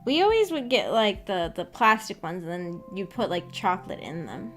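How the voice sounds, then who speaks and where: A woman's voice: mid-range voice, sing-song, moderate pace, a bit bright. A woman chatting casually as a livestream host, rambling in a giggly, self-deprecating way. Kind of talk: casual chat. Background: music.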